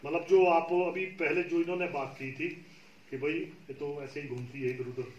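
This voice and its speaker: A man's voice: on the high side, fairly even intonation, normal speed, dull audio, a little hiss or hum. A man explaining beekeeping patiently to a group of farmers, often checking that they follow. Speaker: beekeeping trainer. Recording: echoey room, far from the mic.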